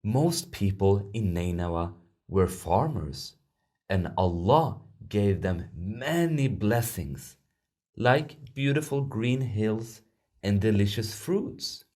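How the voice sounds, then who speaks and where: A man narrating a children's storybook in an expressive, deliberate storytelling manner. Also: fairly low pitch.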